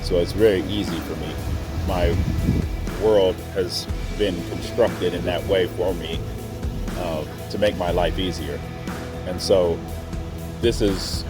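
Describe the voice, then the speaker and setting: Deep-voiced American man, music behind. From Oklahoma, United States. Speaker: host. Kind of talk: reflective spiritual monologue.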